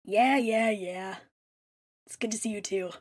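A woman playing a grumpy, soft-hearted young man with a gravelly edge in a fan fiction audio drama. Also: mid-range voice, measured pace, natural voice. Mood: gruffly fond.

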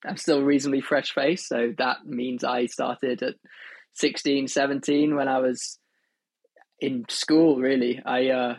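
A young man from Wales, telling his story as a podcast guest in a relaxed, wordy way. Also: medium pitch, a little slow, dry recording. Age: young adult. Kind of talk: casual interview storytelling.

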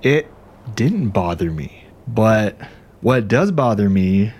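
An actor playing an aggrieved, self-dramatizing ghostwriter in a conversational, wry, indignant audio drama monologue. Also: male voice, fairly low pitch, very animated, takes it slowly, a little mellow, breathy edge, heavily acted. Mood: confessional, bitter. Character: aggrieved, self-dramatizing male ghostwriter telling his grievances. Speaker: narrator and protagonist.

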